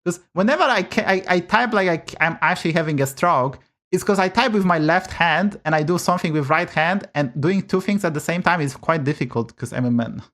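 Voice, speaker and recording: A man's voice: fairly high pitch, fairly quick, fairly bright tone. Blunt Polish-accented man streaming. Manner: blunt, swears often, rants about bugs. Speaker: game streamer. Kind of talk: casual live-stream commentary.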